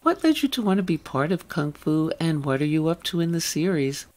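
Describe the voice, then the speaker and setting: A man's voice: on the high side, big pitch swings, fairly quick. A man hosting a radio interview and putting questions to a guest.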